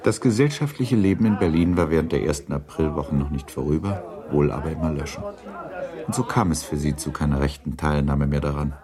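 A man's voice: rumbling low, a little fast, dark tone, a faint crowd. A man narrating a literary radio drama in a composed, scripted storytelling style.

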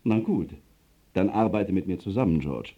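Low-voiced, slick football club boss. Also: male voice, expressive, normal speed, warm tone, husky, highs cut off. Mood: pushy. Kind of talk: radio drama dialogue.

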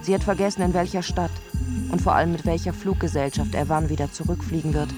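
An actress playing a brisk female film director narrating shots in a German radio play. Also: low voice, steady tone, over music, natural voice.

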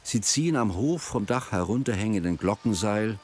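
A man's voice: lowish voice, expressive, moderate pace, darkish tone, a little hiss or hum. A man reading scripted narration for a radio drama.

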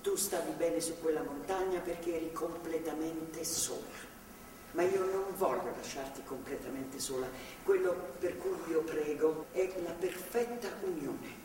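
A steadfast, devout older woman in a theatre play, soothing and motherly yet firm. Her voice is on the low side and earnest. She is heard at a distance in a strongly reverberant hall with clear background noise. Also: unhurried, dark tone. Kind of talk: theatrical stage drama acting. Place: theatre stage, far microphone.

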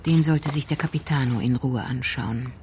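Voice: mid-range voice, moderate pace, a little mellow, slight background noise. Composed literary crime drama narrator.

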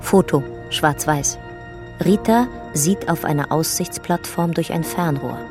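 An actress as a sober documentary narrator in a radio drama, reading reports in a measured, factual way. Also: female voice, low voice, music in the background. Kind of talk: scripted narration.

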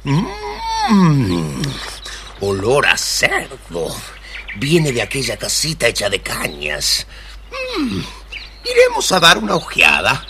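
A man voicing a hungry, menacing, wheedling wolf in a children's story recording, with a theatrical, drawn-out delivery. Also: medium pitch, wildly swooping pitch, noisy background, heavily acted.

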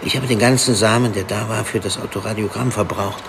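An actor playing a teasing, unhurried police forensic expert in a radio drama, talking in a wry, easygoing way. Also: male voice, fairly low pitch, steady tone, lightly acted. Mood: wry, factual. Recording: clearly noisy background ambience.

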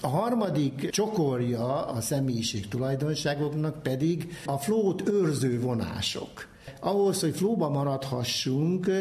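A man with a medium-pitched voice gives an expert explanation. He talks like a professor, laying out his points methodically in measured phrases. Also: a little mellow. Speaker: psychology professor, researcher. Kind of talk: explanatory expert talk about research.

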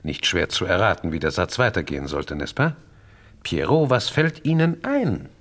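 An actor playing a shrewd, courteous and pompous detective in a radio crime drama, sprinkling French phrases into deliberate speech. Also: male voice, low-pitched, very animated, a bit bright, exaggerated voice. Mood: probing, dramatic. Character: shrewd, theatrical Francophone male detective, self-assured and courteous.

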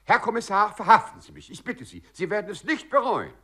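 An actor playing a polite, excitable clerk turned burglar in a 1950s German radio play. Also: male voice, high voice, brisk, exaggerated voice. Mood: eager pleading. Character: eager, polite male clerk turned burglar, delighted by his arrest. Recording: 1950s radio recording with music.

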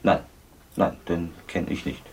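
A man's voice: low-pitched, steady tone, measured pace, gravelly. An actor playing a talkative, troubled Viennese man in a radio drama, colloquial, rambling and hesitant. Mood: chatty, gossiping. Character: talkative, troubled Viennese cousin of a stricken girl.

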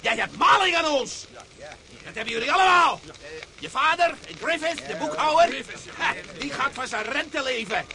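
An actor playing a drunken, embittered, threatening mine worker in a radio play, aggressive and sneering. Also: male voice, very high voice, lively intonation, normal speed, bright, forceful tone, heavily acted. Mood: sneering, bitter.

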